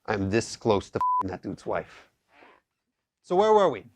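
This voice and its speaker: A man's voice: on the low side, wildly swooping pitch, a little slow, creaky, very noisy, heavily acted. A man playing a cocky, sleazy quack therapist in a comedy sketch, brash, crude and full of bragging. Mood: cocky, forceful.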